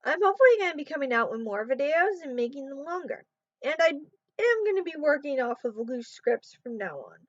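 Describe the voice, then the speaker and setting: A woman's voice: high-pitched, very animated, fairly quick, dry recording. Sleep-deprived young woman vlogging. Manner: rambling, trailing off. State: sleep-deprived, voice going hoarse. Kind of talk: casual talk to camera. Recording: dull, muffled sound in a dry room.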